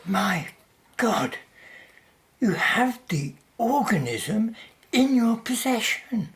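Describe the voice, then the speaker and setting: Woman acting company executive, dismissive, impatient, haughty, animated delivery, radio drama. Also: a little high, drawn-out words, exaggerated voice. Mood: stunned. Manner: curt, dismissive.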